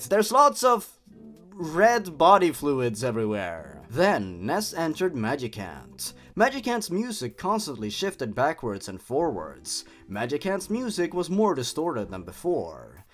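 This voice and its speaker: A man's voice: fairly high pitch, sing-song, measured pace, a bit bright. A man hosting a dramatic reading of a horror story, dropping in sarcastic, exasperated comments of his own. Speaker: host. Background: music.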